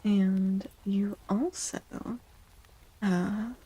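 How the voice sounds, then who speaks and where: A woman speaking in a soft ASMR style as a streamer doing a tarot reading, hushed and often slipping into whispers. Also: mid-range voice, fairly even intonation, very slow, husky, poor audio.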